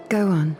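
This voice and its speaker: An actress playing a composed, commanding noblewoman who speaks coolly and probingly. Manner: cool, unhurried and probing.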